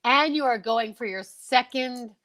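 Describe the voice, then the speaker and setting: A woman's voice: a little high, a little slow, a bit bright. A woman co-hosting a live comedy stream with exuberant, teasing, casual banter, hyping up her guests. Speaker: co-host of a live comedy show.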